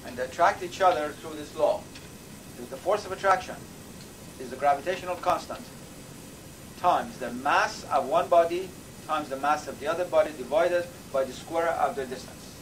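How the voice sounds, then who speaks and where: An older man, a native Persian speaker from Iran, giving a measured university lecture with thoughtful pauses. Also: fairly high pitch, poor audio. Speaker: professor. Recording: lecture recording with audible background noise.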